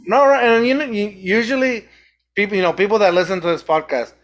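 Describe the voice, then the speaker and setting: A man's voice: high voice, fairly quick, fairly bright tone. A young man with a light Mexican accent talks in a slightly raised voice, reflective and self-critical as he hosts a casual podcast. He restarts often and picks his words as he goes. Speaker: podcast host.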